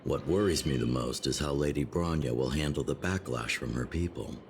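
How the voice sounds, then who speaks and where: Very deep weary old man. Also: slight background noise. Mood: concerned. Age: older. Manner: measured, world-weary, grave. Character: weary, pragmatic old man, town elder. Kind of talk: video game voice acting. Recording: game audio with music behind.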